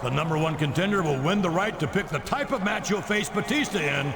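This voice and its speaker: Domineering wrestling chairman, high-pitched and forceful. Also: lively intonation, vocal fry. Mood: commanding. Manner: forceful announcing with drawn-out emphasis. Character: domineering male wrestling company chairman, authoritative showman. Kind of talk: wrestling promo acting. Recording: loud arena crowd noise behind.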